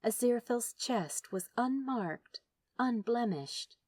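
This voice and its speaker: A woman reading fiction aloud as an audiobook narrator, emotive and dramatic, with pauses for effect. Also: on the low side, warm tone, no room sound. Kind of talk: expressive dramatic scripted narration.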